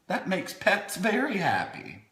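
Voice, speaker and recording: A man's voice: sits high, huge pitch swings, measured pace, pushed and bright. A man hosting a playful storytime, reading a children's book with wry, chatty asides in a theatrical way, as if talking to small children. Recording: echoey room.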